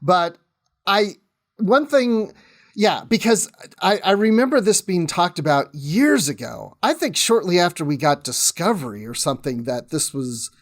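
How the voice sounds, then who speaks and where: A man's voice: high voice, expressive, measured pace. A man chatting casually as a podcast guest, with a jokey, easygoing way of talking. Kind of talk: casual chat.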